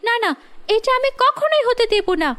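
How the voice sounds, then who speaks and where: A woman's voice: very high voice, expressive, moderate pace, fairly bright tone, voice pushed up. A woman voicing a jealous, boastful, scheming girl with a taunting manner in a cartoon fairy tale. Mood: jealous, indignant. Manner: spiteful, taunting.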